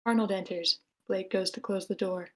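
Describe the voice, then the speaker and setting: A woman's voice: mid-range voice, steady tone, moderate pace. A woman reading stage directions as the narrator of a screenplay table read.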